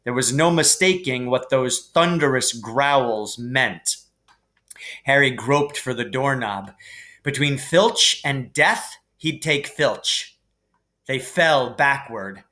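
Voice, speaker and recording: A man reading a children's story aloud as dramatic, deliberate, suspenseful narration. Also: mid-range voice, pushed and bright. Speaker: reader.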